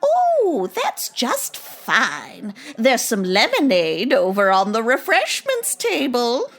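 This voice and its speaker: A woman's voice: a little high, huge pitch swings, slow pace, fairly bright tone, heavily acted. A woman playing a sweet, chatty, oblivious old grandmother in a radio comedy, doting and exclamatory. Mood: gracious.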